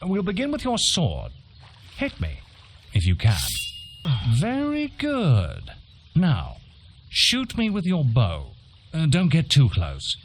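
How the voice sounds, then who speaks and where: Stern, slow-talking guild instructor. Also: male voice, on the high side, huge pitch swings, slight background noise, exaggerated voice. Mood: stern, testing. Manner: commanding, clipped, condescending. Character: stern male guild instructor in a fantasy game.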